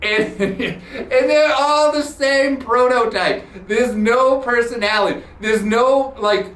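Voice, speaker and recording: A man's voice: extremely high pitch, takes it slowly, fairly bright tone. A man hosting a podcast, telling a blunt, profane, sarcastic story in a ranting monologue. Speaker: podcast host. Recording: roomy echoey room.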